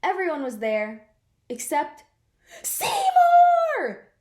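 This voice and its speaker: A woman reading a picture book aloud to children in an expressive way, drawing out words for effect. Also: on the high side, slow pace. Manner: animated, draws out words for effect. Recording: roomy, echoing sound.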